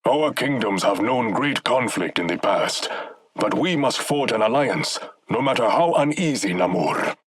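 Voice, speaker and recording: An actor voicing a proud warrior king in a video game, with an African accent and a measured, dignified, formal manner. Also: mid-range voice, lively intonation, fairly bright tone, a little hiss or hum. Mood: measured, regal.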